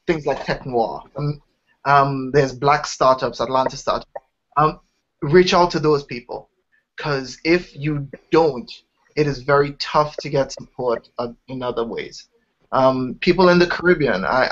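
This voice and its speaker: A man's voice: a little high, a bit bright. Eager Trinidadian man, lo-fi. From Trinidad and Tobago. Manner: eager, with frequent restarts. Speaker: startup founder, interview guest. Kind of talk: casual interview talk. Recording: dull lo-fi sound.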